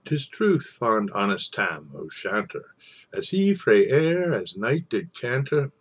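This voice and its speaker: A man with a husky voice, speaking as a storyteller and savouring his phrases with theatrical pauses. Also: mid-range voice, sing-song. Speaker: storyteller and poetry reader. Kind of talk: informal introduction, then dramatic poetry recitation.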